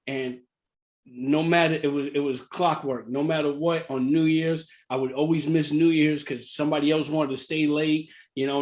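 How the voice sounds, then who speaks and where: A middle-aged man telling a personal story casually, in an animated way, repeating phrases for emphasis. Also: medium pitch. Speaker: real estate investor sharing his story.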